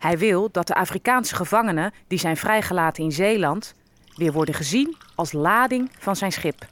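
A woman's voice: lowish voice, expressive, normal speed, a bit bright, dry recording. An actress playing a dignified freed captive who narrates her people's story in a historical audio drama. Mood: dignified, matter-of-fact.

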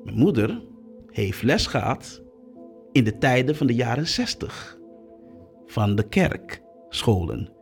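Animated, gravelly man in his fifties. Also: medium pitch, takes it slowly, pauses often, soft background music. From Curaçao, moved to the Netherlands at eight. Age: 50s. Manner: emphatic, acts out quoted speech. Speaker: interviewed guest, activist. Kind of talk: personal storytelling in conversation.

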